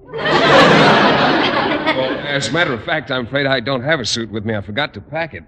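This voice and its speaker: Actor as hesitant polite bachelor, medium-pitched male, radio sitcom, very noisy vintage recording. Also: fairly quick, natural voice. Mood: awkward, polite. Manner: hesitant, mild, courteous. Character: shy, polite, oblivious bachelor guest. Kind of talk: 1950s radio comedy acting.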